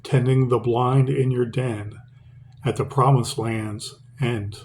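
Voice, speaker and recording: Gravelly middle-aged man, rambling poet. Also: mid-range voice, fairly even intonation, slow pace, a little mellow, slight background noise. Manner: rambling, with many fillers and restarts. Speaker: poet reading his own work. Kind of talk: poetry reading and casual talk to camera.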